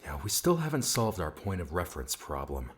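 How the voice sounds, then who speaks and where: A man's voice: low voice, sing-song, brisk. A man voicing a dry, needling young comrade in an audiobook, teasing and pointed in manner. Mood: suspicious.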